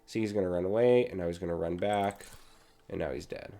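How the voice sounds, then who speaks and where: A young man with a cold and a raspy throat, casually talking through a video game as he plays. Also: low-pitched, a little fast. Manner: relaxed, chatty, talks while playing. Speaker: gaming channel host. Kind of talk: casual gameplay commentary.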